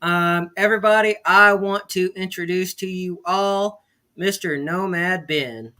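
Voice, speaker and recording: A man's voice: sits high, unhurried, sharp tone. A man hosting a casual online interview, upbeat and chatty with plenty of fillers. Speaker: interview host.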